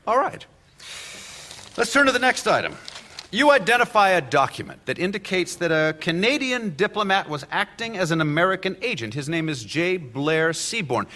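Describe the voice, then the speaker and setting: An actor playing a sharp, relentless defense lawyer cross-examining a witness in a courtroom radio drama. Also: male voice, high voice, big pitch swings, moderate pace, heavily acted. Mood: pressing.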